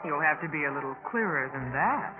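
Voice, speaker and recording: An actress playing an earnest, cheerful young sorority pledge in a 1950s radio drama. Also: female voice, deep voice, lively intonation, fairly quick. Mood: curious, bright. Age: young adult. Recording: tinny, noisy 1950s radio recording.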